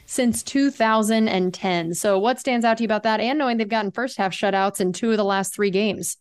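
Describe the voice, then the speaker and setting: A woman, a team reporter hosting a sports radio show, reading segment transitions in a measured way. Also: mid-range voice, clear, resonant tone.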